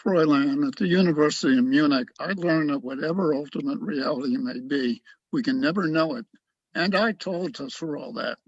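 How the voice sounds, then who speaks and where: A man's voice: a little high, moderate pace, breathy edge, no room sound, dull audio. A man acting in a radio play as a cocky, awkward young philosophy student, emphatic and argumentative. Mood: cocksure.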